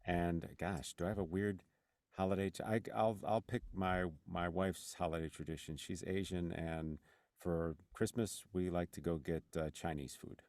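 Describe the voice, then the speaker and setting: A man with a very deep, gravelly voice speaks in a halting, hesitant way over a video call. The sound is dry, with no room around it. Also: fairly even intonation, a little mellow, dry recording. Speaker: improv cast member. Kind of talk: casual chat.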